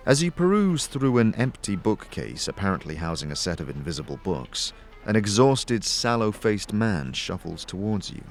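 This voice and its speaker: A man's voice: fairly low pitch, big pitch swings, normal speed, few pauses, soft background music. A man reading dramatic, second-person scripted narration for an audio story.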